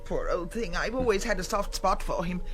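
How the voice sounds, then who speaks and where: A woman's voice: on the low side, fairly quick, music in the background, close to an everyday voice. An actress playing a wistful, chiding, genteel lady with an educated English accent in a radio drama.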